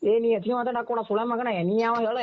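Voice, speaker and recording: A man's voice: very high voice, little pitch movement, rapid-fire, background noise. A man with a North Karnataka Kannada accent arguing on a phone call, irritated and confrontational, swearing freely. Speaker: prank call recipient. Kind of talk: heated phone argument. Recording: dull lo-fi phone line.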